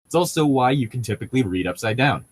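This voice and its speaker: A man's voice: mid-range voice, expressive, fast, husky, no echo. A man chatting casually as a co-host, explaining things in a rambling, nitpicky way. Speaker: podcast co-host reading and riffing on a novel. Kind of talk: casual banter and commentary.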